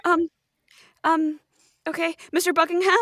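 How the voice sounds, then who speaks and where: A woman's voice: very high-pitched, flat delivery, unhurried, a bit bright, no room sound, clean studio sound. A woman playing a polite, flustered heroine who speaks hesitantly in improvised audio comedy.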